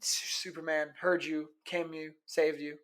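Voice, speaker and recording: Guy playing a young college radio cohost, a bit high pitched, skeptical teasing banter, slangy and halting. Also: little pitch movement, fairly bright tone. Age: young adult. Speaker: radio show co-host. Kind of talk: casual audio drama banter.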